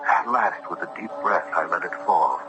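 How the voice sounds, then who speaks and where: Serious young judge telling a spooky radio tale, low voice, measured, music behind. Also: male voice, animated, muffled recording. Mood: uneasy, suspenseful. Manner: literary, measured, suspenseful. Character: earnest young judge narrating his eerie encounter. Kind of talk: first-person gothic radio drama narration.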